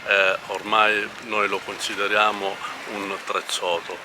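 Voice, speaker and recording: A man giving an earnest, measured formal speech as a local community speaker. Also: fairly low pitch, level tone, background noise.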